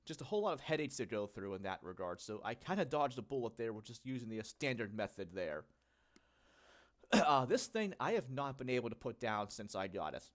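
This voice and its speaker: A young man sounding stuffed up as he comes down with a cold. He hosts a relaxed solo talk and rambles, filling gaps with ums and correcting himself as he goes. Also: mid-range voice, normal speed. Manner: rambling, filler-heavy, self-correcting. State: congested, getting sick. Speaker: channel host. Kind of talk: casual solo talk about gadgets.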